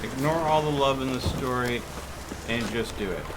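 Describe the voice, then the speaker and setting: A man's voice: medium pitch, normal speed, noisy background. A man streaming a game with casual live commentary, hesitantly musing aloud.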